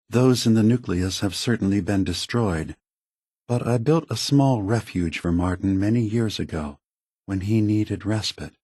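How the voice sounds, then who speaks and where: Slow, solemn old android leader. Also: male voice, fairly low pitch, a little mellow, husky, dry recording, studio quality. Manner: measured, deliberate, earnest, solemn. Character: calm, sorrowful, ancient synthetic android leader. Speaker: video game character voice actor. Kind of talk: scripted video game dialogue.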